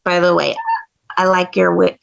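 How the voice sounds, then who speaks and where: Woman as bossy 14 yo genius girl, vocal fry, matter-of-fact, muffled recording. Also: on the low side, monotone, normal speed. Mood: blunt. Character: assertive, indignant fourteen-year-old girl doctor. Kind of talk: script table read. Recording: dull, lo-fi audio.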